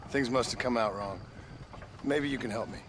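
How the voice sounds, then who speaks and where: An actor voicing a laconic, weary outlaw-turned-hunter who speaks in a dry, terse and polite way. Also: male voice, medium pitch, moderate pace, natural voice. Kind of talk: video game cutscene acting. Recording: noisy outdoor game soundscape.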